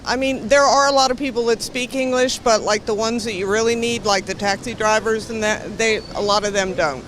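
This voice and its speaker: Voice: medium pitch, fairly even intonation, fairly quick, fairly bright tone, a little hiss or hum. A person answering street interview questions in a casual, plain-spoken way. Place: outdoors on a city street.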